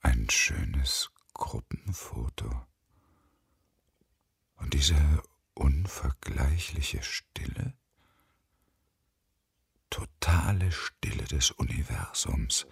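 An actor playing a bitter, desolate unemployed engineer around fifty in a radio play, with a husky, brooding delivery. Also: male voice, a bass voice, expressive, drawn-out words, pauses often, dry recording, studio quality, full character voice. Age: middle-aged. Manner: brooding, often whispery delivery. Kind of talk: German radio play acting, monologue.

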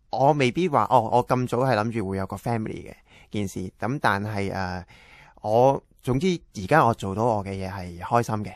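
A man's voice: medium pitch, dry recording, studio quality. A young man with a Hong Kong Cantonese accent, talking plainly and repetitively in a casual interview. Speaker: interviewee, actor.

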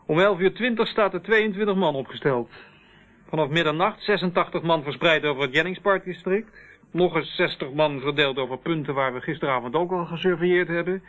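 An actor playing a harried, sceptical police officer in a Dutch radio drama, on a tinny old recording. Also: male voice, a little high, a little fast, a bit bright, natural voice. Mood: agitated, sarcastic. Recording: tinny, band-limited old radio play.

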